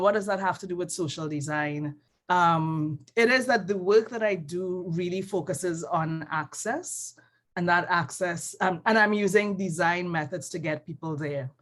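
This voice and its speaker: A woman with a Trinidadian accent talks through her work on a video call. Her voice sits a little low, and she speaks thoughtfully, pausing often and correcting herself as she goes. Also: a bit bright. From Trinidad and Tobago. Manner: thoughtful, hesitant, many ums and self-corrections. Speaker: design professor. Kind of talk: casual panel conversation.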